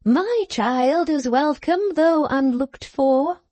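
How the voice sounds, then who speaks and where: A woman's voice: sits high, takes it slowly, darkish tone, no echo, pitched above the performer's own voice. A woman voicing a pompous, gloomy middle-aged mother who speaks in solemn, stately tones. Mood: majestic gloom.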